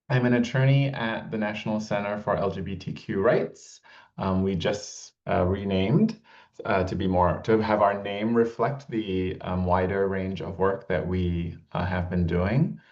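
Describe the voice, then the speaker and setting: A man speaking as a guest attorney, measured and hesitant, with frequent "um"s and "uh"s. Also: lowish voice, a little mellow, lo-fi sound. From Malaysia. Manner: measured, hesitant, many fillers.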